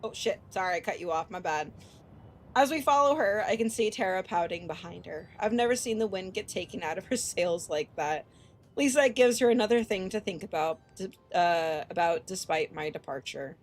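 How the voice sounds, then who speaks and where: A woman streamer reading a visual novel aloud and commenting casually, animated, expressive and free with swearing. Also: fairly low pitch, normal speed. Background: game music.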